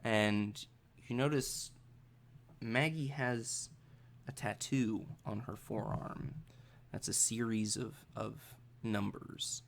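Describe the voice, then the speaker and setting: A man runs a tabletop roleplaying game, narrating slowly with a creaky edge to his voice. He builds suspense in halting, improvised phrases and lets them trail off. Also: fairly low pitch, fairly even intonation, slight background noise. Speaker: game master.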